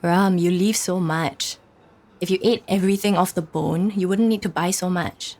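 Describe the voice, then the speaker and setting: A man voicing a gently scolding, frugal young college woman in an audio drama. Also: high voice, fairly even intonation, moderate pace, pitched above the performer's own voice. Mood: gently chiding. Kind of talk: scripted audio drama dialogue.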